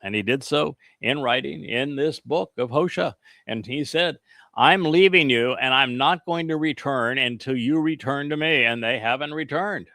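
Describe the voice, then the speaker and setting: A man with a bright, forceful tone delivers an earnest scripture lesson in an emphatic preaching cadence. He drifts into rambling asides with soft chuckles, and the recording is dry and close with little room sound. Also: medium pitch, normal speed, no room sound. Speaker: religious teacher. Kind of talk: scripture teaching with casual asides.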